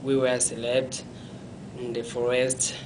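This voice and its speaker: A young man, telling his life story in an interview in a small room, halting and often correcting himself. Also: mid-range voice, completely flat delivery, background noise. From Congo. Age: young adult. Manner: halting, self-correcting, non-native phrasing; gestures with his hands while talking.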